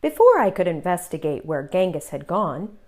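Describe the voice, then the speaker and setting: A woman hosting a children's read-aloud, telling the story in an expressive, deliberate way for young listeners. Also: on the low side, faint music behind.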